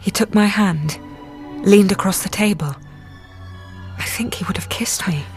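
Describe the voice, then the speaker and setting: An actress playing an earnest, self-conscious young psychiatrist in love, speaking in an articulate, confiding voice in a radio drama. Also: female voice, mid-range voice, unhurried, close to an everyday voice. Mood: confiding, wistful. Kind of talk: radio drama acting with intimate narration. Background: music.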